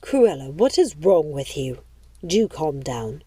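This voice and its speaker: A woman's voice: low-pitched, sing-song, slow, warm tone, breathy edge, dry recording, pitched below the performer's own voice, exaggerated voice. A woman voicing an older aristocratic mother, testy, commanding and composed, in an audiobook story. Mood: reproving. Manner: commanding, reproving.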